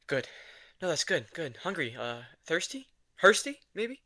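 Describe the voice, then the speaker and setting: Bright-voiced, grumpy, awkward vampire played by a man. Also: fairly high pitch, sing-song, no echo, clean studio sound, heavily acted. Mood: awkward, flustered. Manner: halting, flustered, terse. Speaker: voice actor. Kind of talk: romantic fantasy audio drama acting, ASMR.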